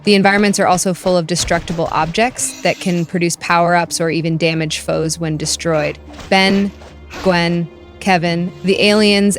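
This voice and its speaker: A woman reading a scripted video game review as a voice-over narrator. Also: lowish voice.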